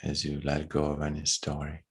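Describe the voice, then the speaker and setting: Low voiced guy doing a guided meditation, kinda husky, gentle and unhurried. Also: completely flat delivery. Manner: slow, gentle, unhurried phrasing with long pauses.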